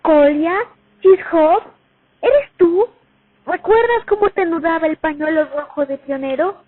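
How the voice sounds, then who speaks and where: A woman playing a war veteran who recalls her years at the front in an expressive radio play monologue. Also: extremely high pitch, measured pace, slight background noise. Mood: excited, pleading. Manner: reminiscing, expressive, dramatic. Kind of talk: radio play monologue, first-person testimony. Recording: tinny phone-like sound over music. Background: music.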